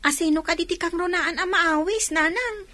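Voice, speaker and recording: A woman's voice: extremely high pitch, normal speed, bright, forceful tone, dry recording. A woman playing a polite, questioning young daughter in Ilocano radio drama, with a Filipino accent. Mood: polite, inviting.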